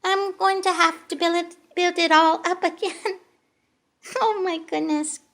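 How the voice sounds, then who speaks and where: Very high, squeaky childlike lamb. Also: fairly even intonation, fairly bright tone, exaggerated voice. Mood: dismayed. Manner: halting, giggly, exclaiming. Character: sweet, excitable childlike lamb puppet. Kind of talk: children's puppet show.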